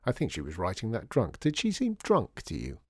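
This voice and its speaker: Man playing a wry, mellow grumbling dad. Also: fairly low pitch, wildly swooping pitch, brisk, no room sound. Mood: wry teasing. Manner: dry, muttering, sardonic. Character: wry, grumbling, teasing father who makes toys.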